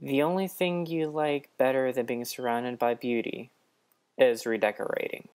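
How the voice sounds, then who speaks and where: Guy doing a flirty grinning trickster dude in an audio story, pitched up a bit. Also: fairly even intonation, normal speed, a little mellow, clean tone, natural voice. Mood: flirty, teasing. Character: smitten, grinning male trickster companion with fur. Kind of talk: radio-play story reading.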